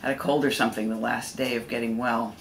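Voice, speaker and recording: A middle-aged man recalling his schooling in a gentle, meandering way. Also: medium pitch, steady tone, fast, slight background noise, low-quality recording. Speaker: interviewee. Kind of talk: casual recollection.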